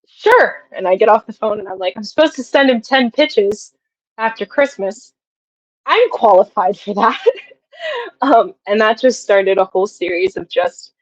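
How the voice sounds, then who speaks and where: A woman's voice: medium pitch, lo-fi sound. A young woman telling stories casually and effusively as an interview guest, often acting out remembered conversations. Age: young adult. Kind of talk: casual, anecdotal interview talk.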